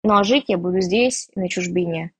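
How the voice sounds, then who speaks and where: A woman's voice: fairly low pitch, moderate pace, a bit bright, slightly rough. A woman from Russia chatting frankly and casually in an interview, joking about herself. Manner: frank, chatty, self-mocking. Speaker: interviewee, emigrant. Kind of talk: candid casual chat.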